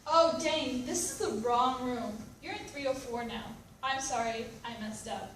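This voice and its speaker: A woman's voice: high voice, unhurried, fairly bright tone. A woman playing an apologetic, shifty motel clerk in a readers theatre performance. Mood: flustered, sheepish. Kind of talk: readers theatre stage acting. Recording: echoey room, distant mic, background noise.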